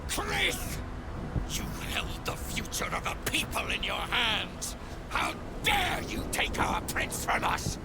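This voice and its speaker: A man's voice: high-pitched, huge pitch swings, slow pace, gravelly, very noisy, heavily acted. A man voicing a fiercely loyal alien knight in a video game, with an impassioned, formal manner. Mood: outraged. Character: fervent githyanki knight, fiercely loyal to his prince. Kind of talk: dramatic video game acting. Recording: loud music behind the voice.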